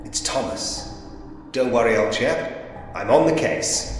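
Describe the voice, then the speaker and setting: A droll, old-fashioned gentleman investigator in a Victorian mystery game. He muses aloud theatrically, with an animated, swooping melody at a medium pitch. The voice rings in a long, roomy reverb. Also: takes it slowly, noisy background. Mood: droll, musing. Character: gentlemanly male detective in a Victorian ghost mystery. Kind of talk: point-and-click game character voice acting, musing aloud.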